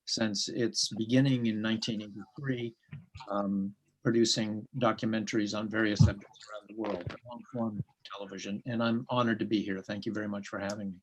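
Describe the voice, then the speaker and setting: American guy, doc producer, calm halting intro. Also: fairly low pitch, slight background noise, rough recording. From United States. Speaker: documentary producer. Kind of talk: formal self-introduction.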